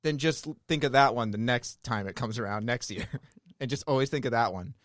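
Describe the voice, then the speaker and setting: A man's voice: medium pitch, brisk, fairly bright tone, no echo. Man, podcast guest, easygoing rambler with a mid-range voice, dead-dry recording. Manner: rambling, heavy use of "like", frequent laughter. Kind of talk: casual chat.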